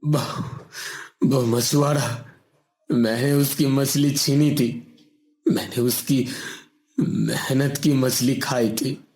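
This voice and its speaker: A man's voice: mid-range voice, steady tone, takes it slowly, pauses often, a little mellow, roomy sound. A man voicing a strong male wrestler who begs and pleads, in a Hindi cartoon. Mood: desperate. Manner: pleading, begging.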